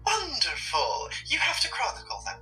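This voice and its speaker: A woman playing a cold, scheming supernatural curator, haughty, condescending and deliberate in a sardonic, theatrical way. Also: on the high side, wildly swooping pitch, pushed and bright, recorded from a distance, faint music behind, full character voice. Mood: smug, calculating. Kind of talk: dramatic roleplay acting.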